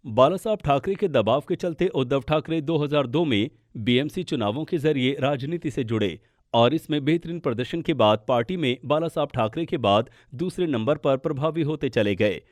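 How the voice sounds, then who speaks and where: A man's voice: mid-range voice, lively intonation, moderate pace, no room sound. Dry-recorded male news narrator. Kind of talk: scripted political explainer narration.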